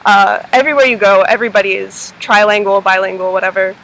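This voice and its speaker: A woman's voice: mid-range voice, fast, a bit bright, slight background noise, poor audio. A sixteen-year-old girl from North Carolina chatting casually as an exchange student vlogger, easygoing and giggly with frequent fillers. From North Carolina, USA. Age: teens. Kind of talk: casual chat.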